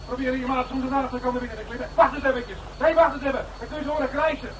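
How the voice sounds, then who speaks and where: An actor playing a cornered, defiant young criminal, jeering and agitated in a radio crime drama. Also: male voice, very high voice, steady tone, rapid-fire, fairly bright tone. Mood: wary, hostile. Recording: noisy old radio drama recording.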